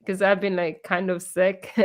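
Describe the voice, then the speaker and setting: A woman with a hoarse voice from being sick, hosting a casual live-streamed interview over a video call. She giggles often and chats loosely with many fillers. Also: medium pitch, flat delivery, takes it slowly. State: hoarse from illness. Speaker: interview host. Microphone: computer mic on a video call.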